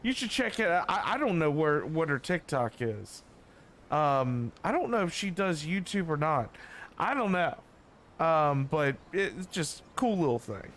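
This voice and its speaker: A man's voice: a little high, big pitch swings, a little slow, fairly bright tone, a little hiss or hum. A man streaming a game and chatting casually in a rambling, informal way. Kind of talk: casual livestream chat. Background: faint music.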